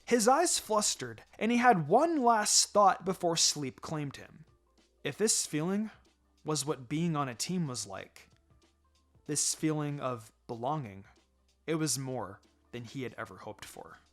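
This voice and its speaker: A man narrates fiction in an expressive reading style. His intonation moves up and down in a lively way, and music plays behind him. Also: a little high, unhurried. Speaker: story narrator. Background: background music.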